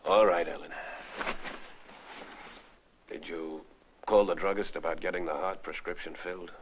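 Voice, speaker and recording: An actor playing a bedridden, suspicious, jealous husband in a 1950s Hollywood melodrama, speaking in a cold, deliberate, accusing way. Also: male voice, low voice, steady tone, natural voice. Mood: weary. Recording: noisy vintage 1950s film soundtrack.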